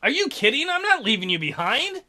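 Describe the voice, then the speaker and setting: A man's voice: extremely high pitch, very animated, fairly quick, sharp tone, pitched above the performer's own voice, exaggerated voice. A man voicing a young woman archaeologist who talks back insistently and defiantly.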